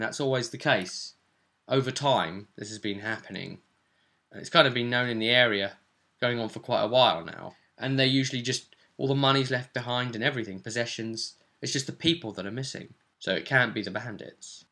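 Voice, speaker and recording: A man's voice: medium pitch, bright, forceful tone. A man hosting as game master, casually recapping a tabletop role-play session in a rambling, descriptive way.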